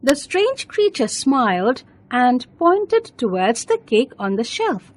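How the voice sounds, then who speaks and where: Slow, high-pitched woman storyteller. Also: sing-song, a little hiss or hum. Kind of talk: scripted children's bedtime story narration.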